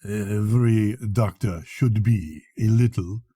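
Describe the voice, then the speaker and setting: A slow, mumbling doctor, shabby and odd, as a male audiobook narrator puts him on, with a very dark, husky voice. He keeps a calm, matter-of-fact tone and lets his words drop low and slow, with a dry, close sound. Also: no room sound, heavily acted. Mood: calm, probing.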